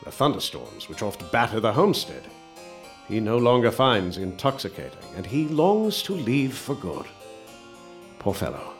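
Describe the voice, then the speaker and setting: A polite, stammering war veteran. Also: male voice, fairly low pitch, very animated, normal speed, faint music behind. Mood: narrating. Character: polite young war veteran, hesitant narrator. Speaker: narrator and lead character. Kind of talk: radio drama acting.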